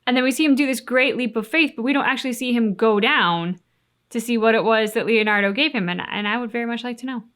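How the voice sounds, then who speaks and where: A woman's voice: on the high side, fast talker, fairly bright tone. A woman co-hosting a reaction show, chatting casually in a musing, questioning way. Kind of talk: casual chat reacting to game cutscenes.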